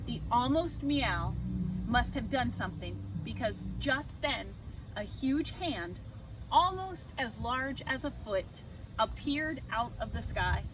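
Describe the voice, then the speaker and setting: A woman reading a children's story aloud with deliberate, expressive phrasing. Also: a little high, background noise.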